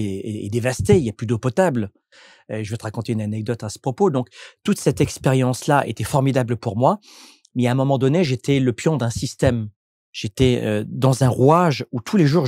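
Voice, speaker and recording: A man's voice: mid-range voice, mellow, slightly rough, no echo, clean studio sound. A man from France, a coach and entrepreneur, answering interview questions in a reflective, explanatory way with frequent restarts.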